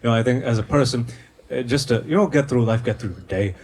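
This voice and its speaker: A man from Sri Lanka doing conversational stand-up comedy in a hesitant, deadpan way on a stage microphone at a live venue. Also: medium pitch, fast talker. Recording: noisy live show recording.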